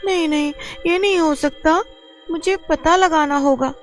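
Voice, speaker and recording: A woman voicing an excitable young girl in a cartoon who dreams of wrestling, talking in shrill exclamations. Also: a little slow. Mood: excited, pleading. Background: faint music.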